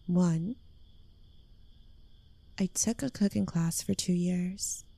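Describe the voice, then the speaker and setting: Woman acting a slow, creaky-voiced possessive witch girlfriend. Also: lowish voice, warm tone, no echo, full character voice. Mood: teasing, smug. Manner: coy, teasing, lingering delivery dropping into breathy whispers. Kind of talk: intimate ASMR roleplay, addressed to the listener.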